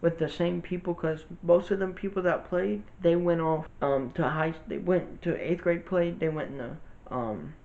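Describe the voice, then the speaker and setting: A young man talks through a rambling storytime for his channel, his slightly high voice carrying a gravelly fry. The recording sounds a bit dull and lo-fi. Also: steady tone, normal speed, hardly any pauses, darkish tone. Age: young adult. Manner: rambling, informal, with many restarts and fillers. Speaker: vlogger. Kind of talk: casual storytime monologue.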